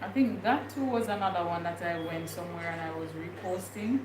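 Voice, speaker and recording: A woman's voice: on the low side, fairly quick. A young woman with a Jamaican accent chatting casually on a livestream, rambling and thinking aloud with many fillers. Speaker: vlogger. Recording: echoey room with clear background noise.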